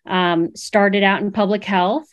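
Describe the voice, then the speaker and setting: A woman's voice: mid-range voice, fairly even intonation, slow pace. East Coast woman dietitian guest. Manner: hesitant, lots of ums, laughs easily. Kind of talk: casual interview chat.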